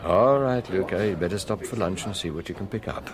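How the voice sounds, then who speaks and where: An actor playing a senior police chief in a British radio drama, unhurried and resolute, with a measured, authoritative, dryly humorous way of talking. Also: male voice, low-pitched, crowd noise, lightly acted. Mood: wry, businesslike.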